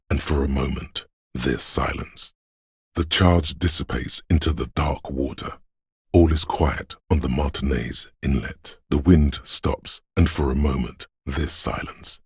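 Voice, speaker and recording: A man voicing the brooding, all-seeing spirit of a city in a video game, narrating in a poetic, ominous, hushed way with a gravelly, husky voice. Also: a bass voice, no room sound, clean studio sound, heavily acted. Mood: eerie, hushed. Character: brooding omniscient spirit of a city, an inner sense. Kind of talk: literary game narration in second person.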